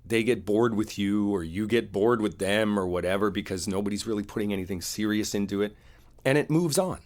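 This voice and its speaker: A low-pitched, earnest boyfriend, acted by a man in an intimate audio roleplay. He sounds wounded and sincere, confiding in his partner with halting phrases, deep in register and set on making up. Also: natural voice. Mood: wistful.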